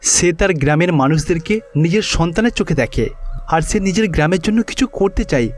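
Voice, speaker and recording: A man playing a calm, benevolent male god in a cartoon story, speaking in a measured, assuring way. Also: sits high. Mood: thoughtful.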